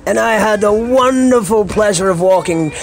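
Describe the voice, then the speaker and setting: A man's voice: sits high, moderate pace. A Scottish man hosting a comic monologue, speaking in a theatrical, foul-mouthed way full of colourful slang. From Scotland. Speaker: podcast host. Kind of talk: comic monologue rant to listeners. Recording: music under the voice. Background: music.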